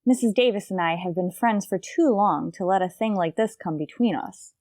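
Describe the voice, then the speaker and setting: A woman acts out a quick-witted schoolteacher who both narrates and stars in an old-time radio comedy. She is arch and polite, with a mid-range voice in a dry, close recording. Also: mid-range voice, darkish tone, no room sound, natural voice. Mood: worried. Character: wry, quick-witted female high school teacher. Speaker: lead actress.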